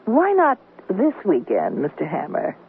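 A woman's voice: mid-range voice, huge pitch swings, measured pace. An actress playing a teasing, flirtatious single woman in an American radio comedy, with an arch, theatrical delivery. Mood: breezy, arch. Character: flirtatious, teasing, sharp-tongued single woman who owns a boat. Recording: muffled noisy off-air radio recording.

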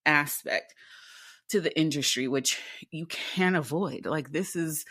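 A woman in her twenties speaks in a deep, low alto, her pitch sitting near the bottom of the range. She talks candidly and with animation, firing off rhetorical questions, and the sound is dry and close with no room echo. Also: a little slow, fairly bright tone. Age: 20s. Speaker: interview guest, theatre actor. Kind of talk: casual interview conversation.

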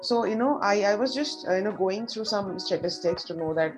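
A woman's voice: mid-range voice, expressive, brisk, music in the background, poor audio. A woman interviewing a guest, encouraging and full of short acknowledgements. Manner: encouraging, many short backchannels. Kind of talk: casual conversational interview.

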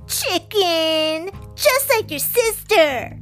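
A woman's voice: very high-pitched, big pitch swings, takes it slowly, sharp tone, soft background music, voice pushed up, full character voice. A woman voicing a pugnacious little girl bear bully, brash, cocky and challenging, in a storybook reading. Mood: jeering.

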